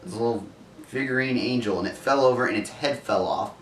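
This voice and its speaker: A man's voice: mid-range voice, fast talker. Wry, joking man in his thirties. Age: 30s. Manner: joking, wry, rambling storyteller. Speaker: guest, older brother. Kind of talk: casual chat. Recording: roomy echo, mic at a distance, faint music. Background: faint music.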